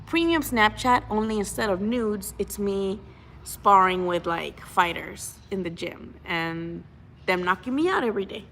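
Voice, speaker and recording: A woman's voice: medium pitch, lively intonation, measured pace, fairly bright tone. Young woman, casual livestream rant, blunt, curses, faint background hum. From Mexico. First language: Spanish. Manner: chatty, frank, swears freely, many restarts. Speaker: boxing channel host.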